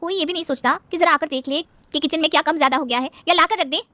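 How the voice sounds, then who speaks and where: A woman voices a young working daughter-in-law in a household tale. She is pert and outspoken, rattling along very fast in a high, bright voice. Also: dry recording. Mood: complaining. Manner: chatty, pert.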